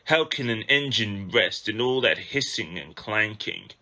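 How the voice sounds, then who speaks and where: A man's voice: fairly low pitch, animated, unhurried, sharp tone, exaggerated voice. A man voicing a haughty, self-important diesel engine in a children's storybook, with a lofty, disdainful manner. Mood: smug.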